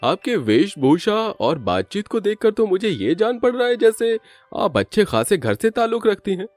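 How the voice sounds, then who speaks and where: Story role: inquisitive polite reporter played by a man, raised pitch, very animated. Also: moderate pace, no room sound, faint music behind. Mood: curious, polite. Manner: polite, formal questioning. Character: inquisitive, courteous male news reporter.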